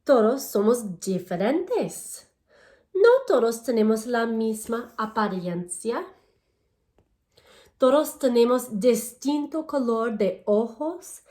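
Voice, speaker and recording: Female elementary teacher for a children's read-aloud: higher-pitched voice, very unhurried delivery, simple and encouraging address to young listeners. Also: lively intonation, mellow. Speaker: elementary school language teacher.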